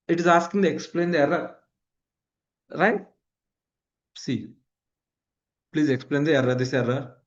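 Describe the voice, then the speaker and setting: A man's voice: on the high side, dull audio. A man in his thirties with a South Indian accent and Kannada as his native language, teaching an online class with repetition and frequent check-in questions. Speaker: instructor. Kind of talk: live online lecture with screen demonstration.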